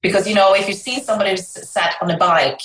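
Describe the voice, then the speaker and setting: A woman's voice: mid-range voice, steady tone, quick pace, fairly bright tone, roomy sound, low-quality recording. A middle-aged woman from Sweden with a Swedish accent, a guest telling her story hesitantly with many restarts, her first language being Swedish. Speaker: guest, fitness and yoga educator. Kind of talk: interview, personal story. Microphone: video call.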